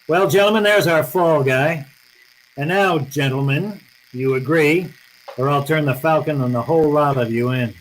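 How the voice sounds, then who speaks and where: An actor playing a tough, cool private detective in an old-time radio drama, speaking in blunt, clipped slang. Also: male voice, on the high side, moderate pace, slight background noise, close to an everyday voice, rough recording. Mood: cool, defiant.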